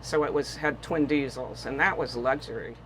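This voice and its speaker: A woman's voice: extremely low pitch, normal speed, a bit bright, slight background noise, rough recording. An older woman from the Bahamas, chatting casually in an interview aboard a small boat, telling stories with many fillers. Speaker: guest boater.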